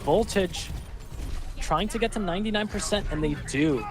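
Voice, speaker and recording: A man casting a live online match, calling the action and filling pauses with rambling, self-deprecating asides. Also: high voice. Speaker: esports caster. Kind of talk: live game commentary.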